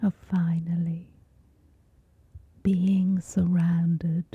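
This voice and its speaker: A woman with a soft, whispery voice gently guides a scripted sleep meditation, soothing, with long pauses between short phrases. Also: on the low side, level tone, breathy edge, no room sound, phone-quality sound.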